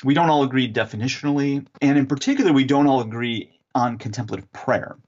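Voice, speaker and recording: A man answering interview questions as an author and theologian, explaining in a measured, teacherly way with some fillers. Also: medium pitch, darkish tone, dull audio.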